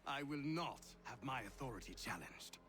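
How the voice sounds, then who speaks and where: An actor playing an arrogant, hot-tempered British general in a video game cutscene, imperious and biting in delivery. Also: male voice, mid-range voice, animated, normal speed, background noise, lightly acted. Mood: angry. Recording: music behind the voice.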